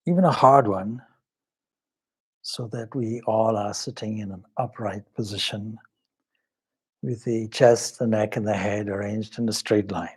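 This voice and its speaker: A man leading a guided meditation as a swami, speaking in a measured, solemn, devotional way with pauses between short phrases. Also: on the low side, steady tone, breathy edge.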